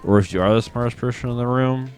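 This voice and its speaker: A man's voice: medium pitch, quick pace, a little mellow, slight background noise. A man with a Midwestern American accent, a bit drunk, rambling and reflective as he hosts a casual gaming chat, swearing casually. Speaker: gaming podcast host.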